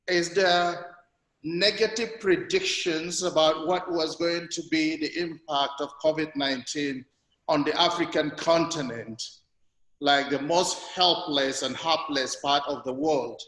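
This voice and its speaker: Slow-speaking man in a very echoey room. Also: a little high, a bit bright. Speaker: webinar participant asking questions. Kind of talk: spontaneous comments and questions. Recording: echoey hall-like room over online call.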